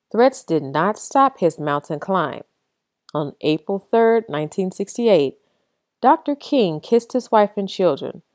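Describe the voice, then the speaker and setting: A woman reading a picture book aloud in an expressive storytelling manner, rising and swelling on the quoted lines. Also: lowish voice, dry recording, clean studio sound. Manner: dramatic, swells loud on quoted shouts.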